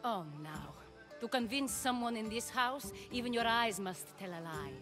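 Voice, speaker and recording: An actress playing a shrewd noblewoman of ancient Athens in a game, measured and discreet in her delivery. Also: a little high, lively intonation, lightly acted. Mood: knowing. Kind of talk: video game cutscene acting. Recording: music under the voice.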